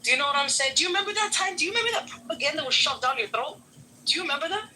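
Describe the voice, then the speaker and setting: A woman from New York talks in a blunt, slangy, profane rant over a phone voice-chat app. Her voice sits a little high and sounds very bright. Faint noise runs underneath. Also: lively intonation, racing. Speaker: Clubhouse room participant, immigration law clerk. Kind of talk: heated casual chat on a voice-chat app. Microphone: phone mic on a voice-chat app.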